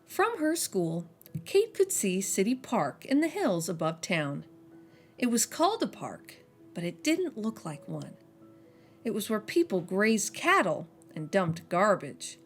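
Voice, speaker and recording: A woman reading a children's picture book aloud as a storyteller, slowing down and dropping soft and low on each refrain. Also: big pitch swings, soft background music.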